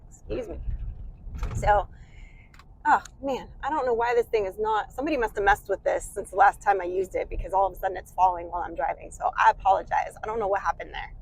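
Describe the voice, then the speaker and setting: A woman's voice: medium pitch, no room sound, poor audio. Sick, congested American woman host, chatty car vlog, dry close sound. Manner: chatty, rambling, self-deprecating. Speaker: channel host. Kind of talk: casual vlog while driving.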